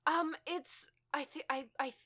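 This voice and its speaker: Woman, bright high voice, dry studio-like sound; wry, sweary podcast guest chatting informally with exasperated interjections. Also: steady tone, unhurried, dry recording. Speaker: podcast guest and audio drama creator. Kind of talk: casual chat.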